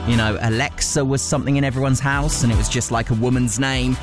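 A man's voice: medium pitch, fairly even intonation, fast. A man with a British accent presenting a radio music show in an informal, jokey, chatty style. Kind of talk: casual chatty DJ link.

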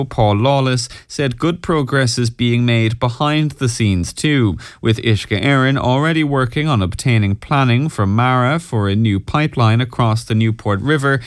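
A man's voice: mid-range voice, no room sound. A man with an Irish accent reading scripted news as a radio presenter at a close studio microphone.

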